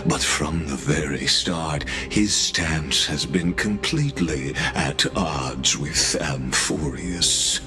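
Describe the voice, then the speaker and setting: A man's voice: extremely low pitch, slow pace, hardly any pauses. A man playing a sly, sinister royal counselor in a video game, with a theatrical, insinuating and grandiose formal delivery. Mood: grandiose, prophetic. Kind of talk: scripted video game voice acting. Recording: orchestral game music behind the voice.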